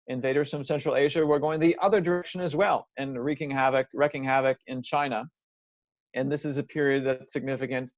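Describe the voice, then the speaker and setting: A man's voice: mid-range voice, no room sound. A man giving an earnest, methodical lecture, walking the listener through a timeline. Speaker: lecturer presenting a series. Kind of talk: explanatory lecture. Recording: dry, slightly dull recording.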